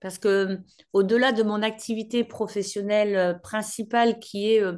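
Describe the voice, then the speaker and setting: A woman's voice: medium pitch, fairly even intonation, moderate pace, a little mellow. A woman in her forties from northern France, a guest speaker and business mentor, chatting in a friendly way and addressing her listeners directly. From northern France, Picardy. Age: 40s. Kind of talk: casual warm talk to an online group.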